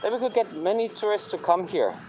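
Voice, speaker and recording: A man's voice: high-pitched, lively intonation, brisk, over music. A man vlogging outdoors with a handheld camera, chatting casually as he walks a city beach, mixing English with a few Tagalog phrases. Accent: non-native Tagalog accent. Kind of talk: casual walking vlog commentary. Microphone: handheld camera, filming while walking.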